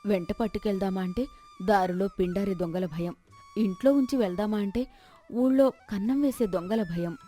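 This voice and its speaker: A woman's voice: medium pitch, normal speed, warm tone, faint music behind, close to an everyday voice. Woman acting a timid, worried village wife. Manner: worried, pleading.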